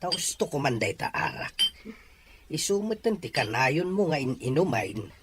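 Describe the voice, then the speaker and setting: A man's voice: a little high, big pitch swings, a little slow, noisy background. A man playing a patient father who consoles and counsels a heartbroken child in an audio drama. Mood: concerned, consoling. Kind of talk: Ilocano audio drama acting.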